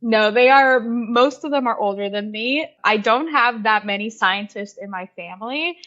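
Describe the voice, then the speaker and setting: A woman in her twenties from Puerto Rico, a PhD student, answering interview questions in a soft, easygoing way with frequent fillers. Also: fairly high pitch, normal speed, dull audio. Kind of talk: podcast interview.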